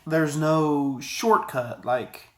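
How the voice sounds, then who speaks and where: A man's voice: a little high, very slow. A man in his thirties giving a casual, rambling, conversational product review to camera, often digressing. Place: small indoor office, sitting at a desk.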